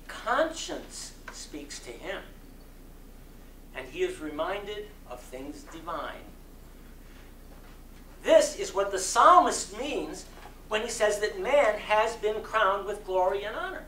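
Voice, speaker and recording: A man performing a dramatic sermon reading in an oratorical style, with solemn, emphatic phrasing and long deliberate pauses. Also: high voice, sing-song, pushed and bright. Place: community center hall before an audience. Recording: roomy echo, distant mic, faint noise.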